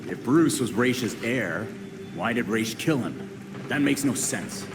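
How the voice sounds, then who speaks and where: An actor playing a stern, suspicious vigilante in a video game, terse and challenging. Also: male voice, medium pitch, lively intonation, slow pace. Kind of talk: video game cutscene acting. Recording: clear vehicle-like ambient noise.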